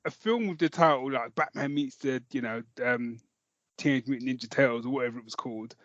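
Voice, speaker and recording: A British man with a mid-pitched voice talks as a podcast co-host. He is informal, sweary and enthusiastic. The sound is very dry and slightly dull. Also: brisk, a bit bright, no echo. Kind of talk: casual chat about comics.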